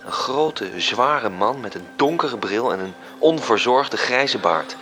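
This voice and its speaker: An actor playing a measured storyteller, reading scripted literary narration for a radio drama. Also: male voice, mid-range voice, expressive, fairly bright tone, a little hiss or hum. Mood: descriptive. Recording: music under the voice. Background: music.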